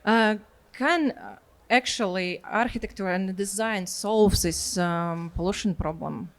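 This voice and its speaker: A woman whose first language is Latvian interviewing a guest on stage in English, pausing often with fillers. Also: medium pitch. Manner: hesitant, many fillers, careful non-native phrasing. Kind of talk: conference interview questions.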